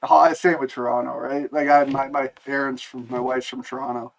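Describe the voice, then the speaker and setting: A man's voice: mid-range voice, flat delivery, moderate pace, creaky, low-quality recording. Easygoing male podcast host chatting. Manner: easygoing, friendly banter. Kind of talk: casual interview chat.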